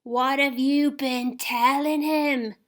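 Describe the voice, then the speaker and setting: A proud, furious female centaur voiced by a woman thunders her words out high and slow. Each line is stretched out and full of scorn, as a storybook character. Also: fairly even intonation. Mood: scornful, angry. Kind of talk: storybook reading.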